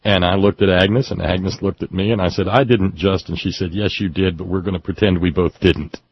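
Older guy rambling wry stories on a talk show, low husky voice, dull dry sound. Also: steady tone, brisk, no room sound. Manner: wry, rambling, chuckling storyteller. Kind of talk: casual call-in chat.

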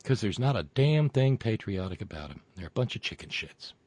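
A man hosting a show, reading viewer comments and delivering sarcastic political commentary that swings from loud rants to muttered asides, with frequent fillers and profanity. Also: fairly low pitch, sing-song, fairly quick, no echo. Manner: mocking, builds into loud rants then drops to muttering; profane, many fillers and restarts.

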